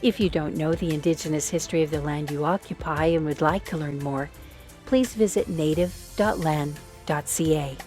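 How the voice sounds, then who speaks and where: Woman narrator, measured formal read, lowish voice over soft music. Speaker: narrator of a land acknowledgement. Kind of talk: scripted narration.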